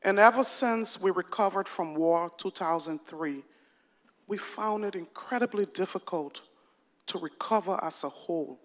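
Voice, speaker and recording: A woman's voice: on the low side, a little slow. A woman from Liberia earnestly asking a formal question as an audience member at a public forum.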